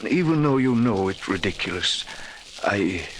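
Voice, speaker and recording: An actor playing an anxious, old-fashioned gentleman host, hesitant, formal and apologetic, in 1940s radio style. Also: fairly low pitch, animated, measured pace. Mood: hesitant, pleading. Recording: faintly noisy old 1940s radio recording.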